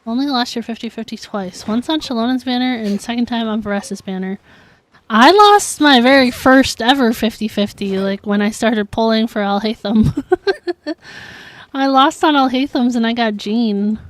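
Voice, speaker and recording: A woman's voice: fairly high pitch, moderate pace, no echo. A woman chatting casually and informally while streaming a video game, reacting to viewers as she plays. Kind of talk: casual livestream chat while gaming.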